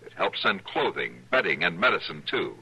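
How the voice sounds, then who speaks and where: A man's voice: sits low, big pitch swings, normal speed, bright, forceful tone, husky, low-quality recording. A man announcing an earnest public service appeal in an old radio broadcast, oratorical in delivery.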